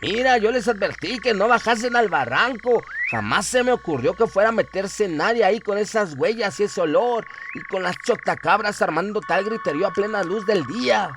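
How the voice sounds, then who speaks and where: A man's voice: sits high, animated, moderate pace, hardly any pauses, background noise, voice pushed up. A man playing a frightened old country villager in a horror radio play, gasping and breathless as he speaks. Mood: horrified, gasping.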